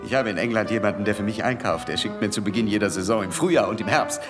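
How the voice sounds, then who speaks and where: An actor in a radio play voicing a wealthy, lovestruck, affectedly genteel man in a theatrical manner that swings from giddy to hushed. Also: on the low side, fast talker, lightly acted. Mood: proud, tender. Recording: long reverb, music underneath.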